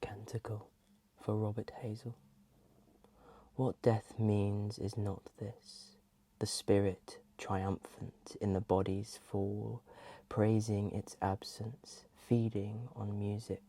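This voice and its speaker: A soft, breathy ASMR host reading in a hushed voice that often slips into a whisper. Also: low-pitched, level tone, slow pace.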